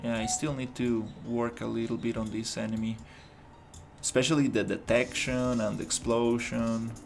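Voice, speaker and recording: Gravelly man thinking aloud. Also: medium pitch, level tone, moderate pace, faint music behind. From Costa Rica. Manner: thinking aloud, loose and rambling with many restarts. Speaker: indie game developer streaming his work. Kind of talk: casual livestream commentary while working.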